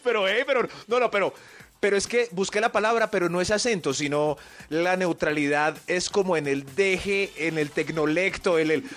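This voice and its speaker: A man's voice: sits high, moderate pace. A man hosting a comic radio segment, teasing and playful as he banters with his co-hosts. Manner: playful, teasing, animated delivery. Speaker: radio host.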